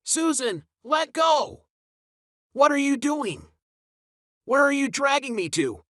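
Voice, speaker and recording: A man plays a decisive, hearty schoolboy in a storybook audio drama. The delivery is emphatic and very lively in pitch. The sound is dry and close. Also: slow pace, no echo, exaggerated voice. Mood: startled, indignant. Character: schoolboy Peter, hearty, decisive eldest brother.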